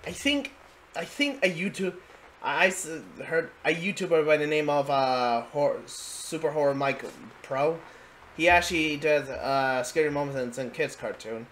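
A man giving casual reaction commentary, halting and amused, often repeating his phrases. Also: on the high side, fairly bright tone, slight background noise. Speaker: reaction video host.

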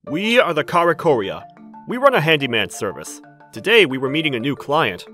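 A man's voice: fairly high pitch, very animated, brisk, music in the background. An actor playing a tough, caring young half-werewolf handyman in an anime dub. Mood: earnest.

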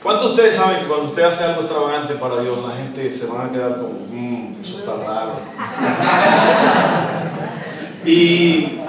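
A middle-aged Dominican man with a Dominican Spanish accent, preaching a sermon as guest pastor, animated and asking the congregation questions. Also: mid-range voice, unhurried, pauses often, distant mic, background noise. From Dominican Republic. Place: church stage, indoors, large hall. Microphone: handheld mic. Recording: echoey hall, recorded from afar.

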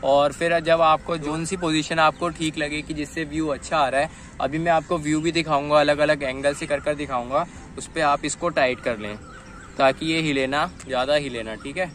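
A young man talks straight to his viewers in a chatty, easygoing way, calling them guys as he shows off a gadget. His voice sits at an ordinary pitch and stays fairly level with little rise and fall. He is recorded outdoors on a phone, with faint noise from the open air around him. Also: steady tone, moderate pace, fairly bright tone. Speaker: vlogger. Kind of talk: casual unboxing and test vlog. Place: outdoors in an open dirt field, later riding on a street. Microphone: phone camera, later a chest-mounted phone.

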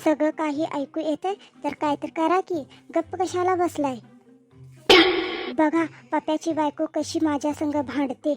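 Woman acting a shrill-pitched, scolding mother-in-law. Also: steady tone, unhurried, darkish tone, faint music behind. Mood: indignant. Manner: melodramatic, scolding. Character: greedy, quarrelsome middle-aged mother-in-law, loudly scolding. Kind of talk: comedy cartoon dialogue.

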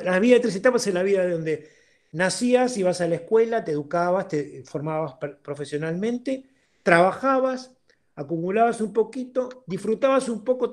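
An Argentine man in his sixties talking informally and emphatically as an interviewed guest on a video call, often checking that he is understood. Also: high voice. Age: 60s. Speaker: interviewed guest, accountant turned entrepreneur. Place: indoor room at home, video call.

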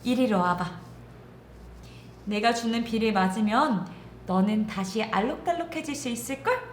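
A woman voicing a kind, comforting cloud in a children's storybook tale, calling out gently. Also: a little high, normal speed, a little hiss or hum, natural voice. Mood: encouraging, calling. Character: kind, comforting, self-sacrificing cloud spirit. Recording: roomy echo, music underneath.

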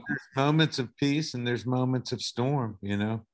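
A man's voice: mid-range voice, normal speed. A middle-aged man from the southern United States, a guest on a video call, telling stories in a laid-back way and swearing casually. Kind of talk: casual interview storytelling.

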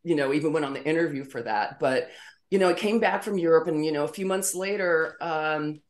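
A woman's voice: sits low, fairly quick. A middle-aged woman, a guest on a video call interview, telling stories in a chatty way and laughing often. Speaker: interview guest, former model. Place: home room with framed pictures on the wall.

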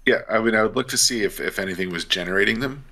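A man with a very low voice and a crisp, forward edge plays a tabletop game. He talks casually over a video call from home. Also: quick pace, sharp tone. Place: home room, indoors.

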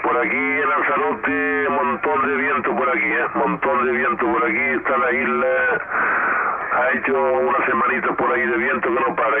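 A man's voice: medium pitch, creaky. A man chatting casually as an amateur radio operator, repetitive and colloquial, over a radio link. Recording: very noisy narrowband radio transmission.

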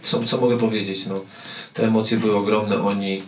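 A football coach in his forties answers reporters in a halting way, stumbling over and repeating his words. His voice sits a little low. It comes from a table mic a short way off, in an echoey press room with faint noise. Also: flat delivery, a little mellow, far from the mic. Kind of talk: post-match press conference. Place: press room with sponsor wall. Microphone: table mic on stand.

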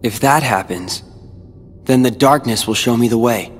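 A man's voice: mid-range voice, moderate pace, in a large hall, slight background noise, natural voice. An actor playing a brooding, determined teenage boy in a video game, with a cool, guarded, moody delivery. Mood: resolute, wry. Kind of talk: video game cutscene acting.

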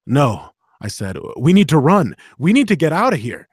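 A man's voice: fairly high pitch, moderate pace, slightly rough, no echo, studio quality. A man in his thirties with a slight Canadian accent, reading an action novel aloud and then chatting about narration. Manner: steady dramatic reading, chatty and laughing in talk. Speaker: audiobook narrator and host. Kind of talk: audiobook narration, then casual chat. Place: small home studio with dark drapes. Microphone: studio condenser mic with headphones.

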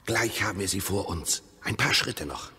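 A wary husband in an embittered marriage, in radio-play style. His low voice is very husky yet sharp and forceful, clipped and sardonic as he needles. A long cave-like reverb surrounds it. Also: lively intonation, moderate pace, heavily acted. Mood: searching. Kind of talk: radio drama acting.

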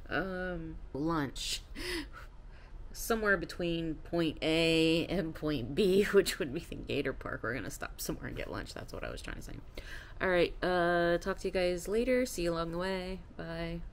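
A woman's voice: fairly low pitch, a little slow, slight background noise. A woman in her thirties talking to a handheld camera in a casual travel vlog, rambling and tired, laughing often. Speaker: travel vlogger. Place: hotel room, then a car. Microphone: phone or camera at arm's length.

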